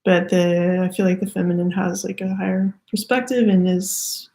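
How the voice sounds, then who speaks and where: A woman with a Canadian accent giving a halting, thoughtful spiritual card reading, full of fillers and restarts. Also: lowish voice, little pitch movement, gravelly. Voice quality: soft-spoken, hesitant, many fillers and restarts. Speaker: tarot reader.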